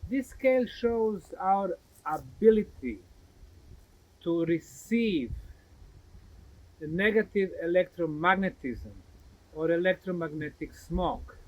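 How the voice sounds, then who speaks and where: Slow-speaking man, higher-pitched. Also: expressive, low-quality recording. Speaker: presenter and researcher. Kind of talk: outdoor explanatory talk to camera. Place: outdoors at a grassy mound site. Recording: clear background noise, outdoor site recording.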